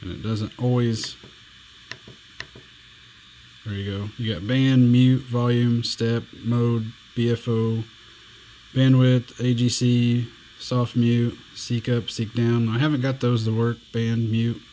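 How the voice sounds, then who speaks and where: A man's voice: medium pitch, slight background noise, poor audio. A man giving a casual, plainspoken hands-on demo of a pocket radio, walking through its buttons and bands. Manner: relaxed, plainspoken, slow and unhurried. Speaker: radio reviewer.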